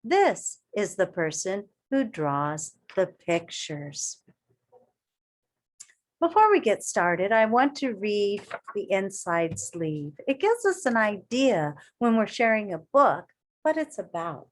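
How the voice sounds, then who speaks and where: Expressive woman reading aloud, slow and lively. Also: mid-range voice. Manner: expressive, addresses young listeners with questions. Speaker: elementary school teacher reading aloud. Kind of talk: picture-book read-aloud for children with asides and questions.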